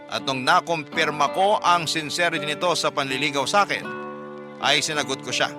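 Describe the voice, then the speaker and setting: A man's voice: on the high side, normal speed, lightly acted. A man narrating a scripted radio love story in the first person as a hopeful single woman. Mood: tender, hopeful. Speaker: storyteller on radio drama show. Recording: music bed behind the voice.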